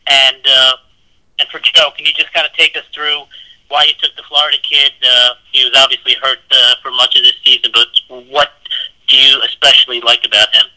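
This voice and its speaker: A man's voice: mid-range voice, little pitch movement, brisk, bright, forceful tone. A man asking press conference questions as a sports reporter over a tinny phone line.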